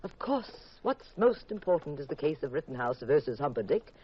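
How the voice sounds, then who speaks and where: An actress playing a detective's sharp, teasing wife in a 1950s radio mystery, with an arch, sardonic delivery. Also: female voice, low-pitched, big pitch swings, brisk, a little hiss or hum. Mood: teasing, sarcastic. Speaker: lead actress and narrator. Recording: muffled vintage radio recording.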